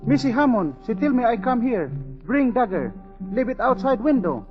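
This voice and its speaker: A man playing a frightened, submissive servant, speaking halting, urgent broken English in 1940s radio drama style. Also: very high voice, very animated, in a large hall, lo-fi sound. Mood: fearful, urgent. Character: frightened, submissive servant bearing a deadly warning. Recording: band-limited 1940s radio recording with music.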